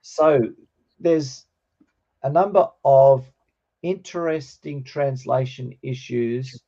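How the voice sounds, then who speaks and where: Middle-aged man, bright slightly croaky voice, hesitant teacher, informal online lesson, muffled call audio. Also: mid-range voice, fairly even intonation, slow pace. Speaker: teacher of New Testament Greek. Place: online video call with screen share.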